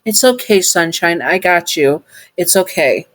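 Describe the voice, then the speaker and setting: A storyteller voicing a gentle, protective male robot caretaker who speaks softly and coaxingly. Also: medium pitch, animated, normal speed, dry recording, voice pushed up, close to an everyday voice. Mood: soothing. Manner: soft, soothing, coaxing. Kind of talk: fan story reading.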